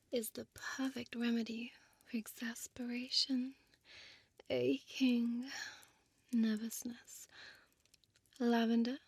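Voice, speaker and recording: An elegant, predatory vampire woman, played by a female actor, whispers seductively in a breathy voice pitched a little high. She speaks very slowly with teasing, possessive formality, close and dry on the microphone like ASMR roleplay. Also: heavily acted. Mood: soft, intrigued. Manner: slow, deliberate, hushed, teasing formal speech. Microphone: close dry studio microphone.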